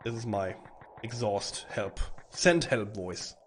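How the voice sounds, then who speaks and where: A man with a hoarse, rough voice from bronchitis, chatting casually and rambling to stream viewers while playing a game. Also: on the low side, expressive, takes it slowly, slight background noise. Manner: rambling, chatty, swearing, with frequent restarts. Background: faint game music.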